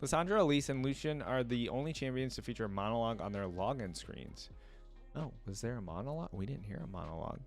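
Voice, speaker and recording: A man's voice: medium pitch, animated, fast talker, faint music behind. A man hosting a reaction video, chatting casually to viewers, thinking aloud and reading wiki text out as he goes. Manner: conversational, thinking aloud, often musing to viewers.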